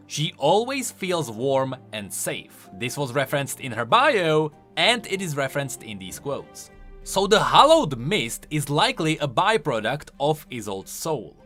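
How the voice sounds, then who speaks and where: Animated, bright-voiced man explaining game lore. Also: on the high side, unhurried. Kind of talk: lore commentary voice-over. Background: faint music.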